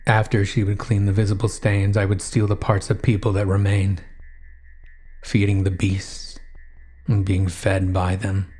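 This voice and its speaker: A man narrating a first-person horror story in a grave, intense, dramatic way with drawn-out phrasing. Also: lowish voice, monotone, a little mellow, breathy edge.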